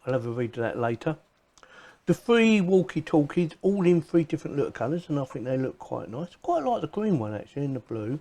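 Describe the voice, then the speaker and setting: A man's voice: a little high, big pitch swings, fairly quick, mellow. A man presenting a casual hands-on gadget unboxing, chatting informally and thinking aloud as he goes. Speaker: video presenter reviewing gadgets.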